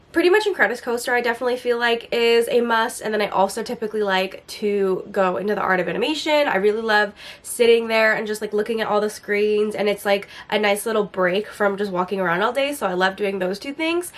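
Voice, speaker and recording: Woman vlogger, mid-range pitch, slightly raspy and hoarse from a recent flu, chatty and enthusiastic. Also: fairly bright tone. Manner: chatty, enthusiastic, frequent "like" fillers. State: recovering from a flu, a bit hoarse. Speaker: lifestyle vlogger. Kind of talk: casual Q&A vlog.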